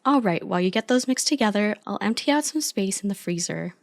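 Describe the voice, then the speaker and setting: A woman's voice: medium pitch, animated, quick pace, creaky, no echo. A woman playing an affectionate, playful girlfriend in an ASMR roleplay, chatty, teasing and supportive as an eager but clumsy baker. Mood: fond, mildly defensive.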